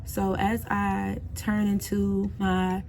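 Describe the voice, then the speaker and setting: A woman's voice: mid-range voice, completely flat delivery, slow. A woman, talking casually and directly to her viewers in a reflective vlog. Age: 20s. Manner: chatty, direct address to viewers. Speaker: vlogger.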